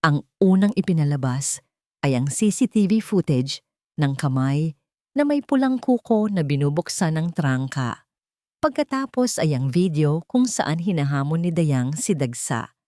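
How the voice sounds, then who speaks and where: Lower-pitched woman narrating a drama. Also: animated, a little slow, mellow, no room sound, clean studio sound. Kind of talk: scripted dramatic story narration.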